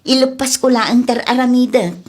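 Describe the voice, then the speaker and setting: A chatty, teasing middle-aged village woman, played by a woman in an Ilocano comedy drama. Her voice is rather high and noticeably husky, with an Ilocano accent and a neighborly manner. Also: moderate pace, darkish tone, natural voice. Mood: busy, friendly. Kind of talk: Ilocano comedy radio-style drama acting.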